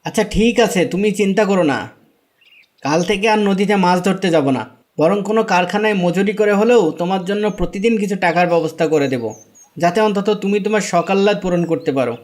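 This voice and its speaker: A man's voice: high voice, fast talker, natural voice. A man playing a devout, humble fisherman and patient husband in a cartoon, earnest and pleading. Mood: pleading, concerned. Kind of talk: cartoon dialogue.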